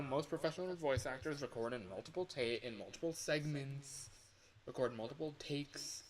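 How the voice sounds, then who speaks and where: A man chatting casually as a livestreamer, rambling and halting, often correcting himself and sometimes swearing. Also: medium pitch, a bit bright, rough recording. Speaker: livestreamer recording voice lines.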